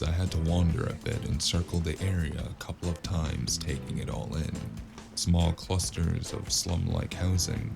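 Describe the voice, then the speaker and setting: A man's voice: sits low, creaky. An actor playing a wry, world-weary cyberpunk freelancer who narrates his own noir tale in a sardonic, musing way. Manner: sardonic, musing, drawn-out first-person storytelling. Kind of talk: noir audio drama narration. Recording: music behind the voice.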